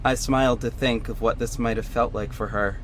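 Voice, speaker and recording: A man's voice: medium pitch, fairly even intonation, fairly quick, a little hiss or hum, natural voice. A man playing an earnest young hero who narrates his own story in a conversational, scripted audio drama style. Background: music.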